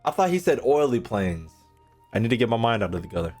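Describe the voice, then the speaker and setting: A man's voice: mid-range voice, big pitch swings, quick pace, darkish tone, soft background music. A man giving casual live gameplay commentary as a streamer, slangy, profane and joking. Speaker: game streamer.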